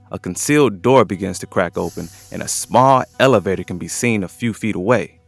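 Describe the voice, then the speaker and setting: A man's voice: low voice, dry recording. A man reading scripted narration for an audio drama as its narrator. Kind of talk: scripted present-tense narration.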